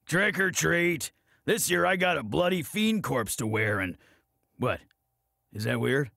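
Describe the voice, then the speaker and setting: A man's voice: fairly high pitch, expressive, measured pace, creaky, sharp tone, dry recording, clean studio sound, full character voice. An actor playing a casually morbid, oddball young man in a video game, matter-of-fact in tone. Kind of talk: scripted video game character voice lines.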